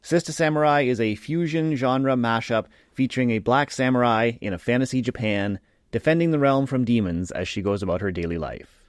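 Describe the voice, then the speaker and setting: A man's voice: mid-range voice, dry recording. Podcast host man, dry close mic. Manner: reads a scripted intro, then chats with pauses and fillers. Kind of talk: podcast interview.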